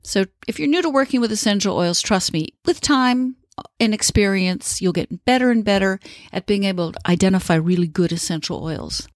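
A woman's voice: medium pitch, normal speed, no room sound, clean studio sound. A woman hosting a podcast alone, reassuring and encouraging beginners as she explains. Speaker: podcast host. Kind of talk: solo educational podcast talk.

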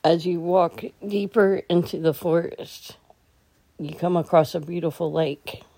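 Low, mellow-voiced woman guiding meditation. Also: unhurried, husky. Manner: calm, gentle, instructional. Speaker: meditation guide. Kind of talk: guided meditation.

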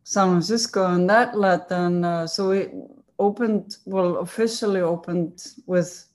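A woman from Iceland with an Icelandic accent, a design agency co-founder telling her story in an interview, relaxed and full of fillers and self-corrections. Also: fairly low pitch, measured pace. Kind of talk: conversational interview answers.

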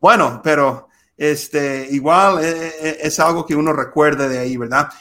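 A man's voice: a little high, unhurried, pushed and bright, a little hiss or hum. A young man who grew up in the United States, hosting a podcast in a casual, friendly way, with many fillers. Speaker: podcast host. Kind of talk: casual interview.

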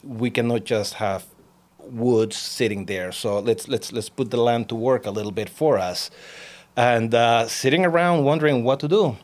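A man's voice: medium pitch, normal speed, darkish tone. Chatty Peruvian-man. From Peru. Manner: chatty, repeats words, laughs often. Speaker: guest, alpaca farmer. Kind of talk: casual interview chat.

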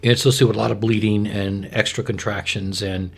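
A man's voice: fairly low pitch, level tone, fast, gravelly, breathy edge. A man explaining medical matters in a conversational, plain-spoken way as a podcast host and expert. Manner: explanatory, plain-spoken, asks and answers own questions.

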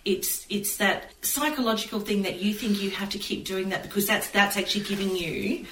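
A woman's voice: medium pitch, normal speed, recorded from a distance, crowd noise. A woman with an Australian accent chatting casually as a podcast co-host. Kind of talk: casual chat. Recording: roomy with faint noise.

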